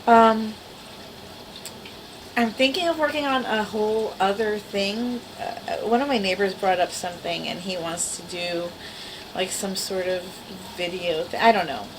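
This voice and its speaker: A woman's voice: mid-range voice, a little slow, background noise. Small-voiced woman rambling to camera. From the Bronx, New York. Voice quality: small, girlish voice. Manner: rambling, self-deprecating, wry. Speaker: vlogger. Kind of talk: casual chat to camera. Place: at home. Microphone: camera mic.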